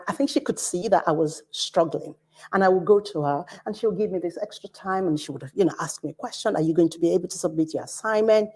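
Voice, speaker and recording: A woman from Nigeria speaks on a conference panel with a Nigerian accent. Her voice sits a little low and sounds mellow and warm. She talks in a measured, earnest, storytelling way. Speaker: panelist, academic director.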